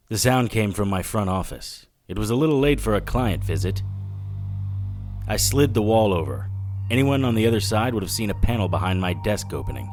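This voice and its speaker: An actor playing a wry, world-weary 1940s detective, narrating and talking in matter-of-fact noir style. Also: male voice, lowish voice, slight background noise, lightly acted. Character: wry, hard-boiled male 1940s occult detective. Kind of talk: noir first-person narration and dialogue.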